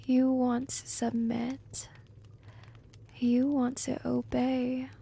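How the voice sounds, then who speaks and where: A woman playing a seductive, commanding vampire in an ASMR roleplay, breathy and whispery, coaxing and teasing in a hypnotic manner. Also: high voice, level tone, warm tone, exaggerated voice. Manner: slow, hypnotic, coaxing, dominant.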